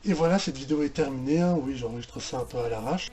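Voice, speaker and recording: A man giving casual reaction commentary as a video host, halting and often restarting his phrases. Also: mid-range voice, dark tone. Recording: roomy home recording with background noise.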